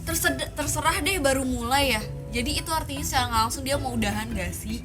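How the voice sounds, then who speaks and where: A high-voiced woman gives chatty reaction commentary. She retells and mimics remarks in a gossipy, casual way, with music clearly playing behind her. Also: a little fast. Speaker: host of a reaction commentary show.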